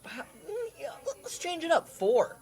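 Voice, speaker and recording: A man's voice: very high voice, very animated, normal speed, a little mellow. A man playing as a quiz contestant in casual panel banter.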